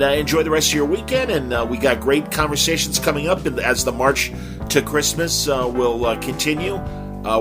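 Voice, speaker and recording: A man hosting a podcast, speaking casually and unscripted straight to listeners in a friendly, rambling way. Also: medium pitch. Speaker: podcast host. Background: guitar music.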